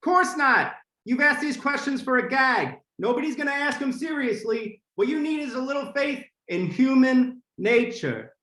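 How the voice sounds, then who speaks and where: Actor as male company boss, blustering, forceful, old-time radio drama, echoing room. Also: high-pitched, expressive, moderate pace, hardly any pauses, slight background noise. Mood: dismissive, emphatic. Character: blustering company boss, married man, dismissive then panicky.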